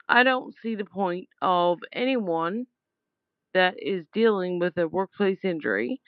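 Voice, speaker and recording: Slow, blunt woman on dull voicemail audio. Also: a little high, smooth, dry recording. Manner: blunt, aggrieved, emphatic. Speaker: caller leaving a voicemail. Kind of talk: informal complaint voicemail. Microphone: phone call.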